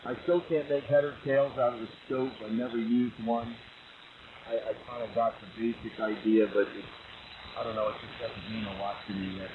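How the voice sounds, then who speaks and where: A low-voiced man comes through a dull ham radio signal with faint static. He chats as a fellow operator, a little unsure and modest. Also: fairly even intonation, brisk. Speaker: amateur radio operator, caller. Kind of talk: casual amateur radio exchange. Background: faint radio noise.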